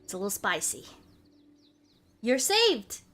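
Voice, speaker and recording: Bright-voiced woman streamer, unhurried and playful. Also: a little high, wildly swooping pitch. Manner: playful, repeats phrases for comic effect. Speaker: livestreamer. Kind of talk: casual livestream chat. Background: background music.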